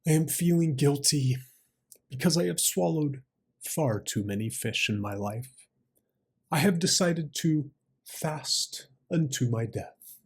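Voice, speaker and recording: A man plays an old crane from a storybook fable, husky and very slow. The bird is a schemer who talks gravely and philosophically, coaxing with feigned sorrow. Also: a little high, lively intonation, exaggerated voice. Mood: feigned remorse.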